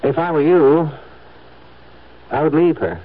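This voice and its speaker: An actor playing a firm, insistent psychiatrist with a blunt, measured clinical manner in a 1970s radio drama. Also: male voice, a little high, animated. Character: firm, blunt male psychiatrist pressing his patient. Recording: dull, muffled vintage radio recording.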